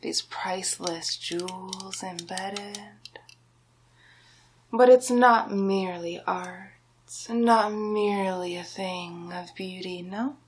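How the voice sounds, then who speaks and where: A woman playing a scheming, power-hungry royal vizier in an ASMR villain roleplay, speaking softly and deliberately with theatrical menace and often dropping into a whisper. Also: medium pitch, fairly even intonation, slight background noise, natural voice. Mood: sly, gloating. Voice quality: soft, breathy, half-whispered.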